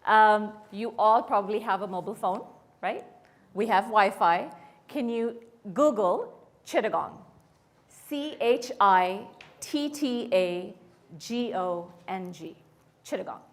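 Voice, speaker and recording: A woman's voice: mid-range voice, slow. A woman in her fifties, from Bangladesh, telling her life story to an audience as a guest speaker and asking them questions along the way. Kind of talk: personal story told to an audience.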